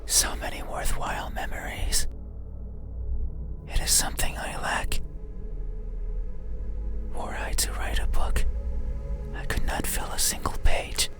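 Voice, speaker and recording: A man voicing an eerie, lonely monster in a horror game, pleading and resentful in a breathy, formal, deliberate ASMR whisper. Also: over music, close to an everyday voice. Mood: melancholy, empty. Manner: slow, deliberate, formal, brooding.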